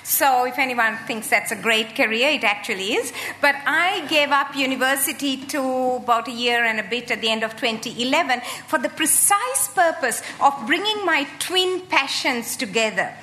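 Lively, bright-voiced woman in her sixties. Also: high-pitched, normal speed, few pauses. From Sri Lanka. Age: 60s. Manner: lively, animated, joking. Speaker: guest speaker. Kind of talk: humorous public talk.

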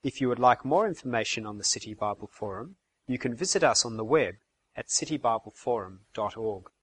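A man with a husky, breathy voice reads a short copyright notice before a recorded talk. His delivery is even and formal, at a middle pitch. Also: a little fast. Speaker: announcer. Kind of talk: read recorded disclaimer.

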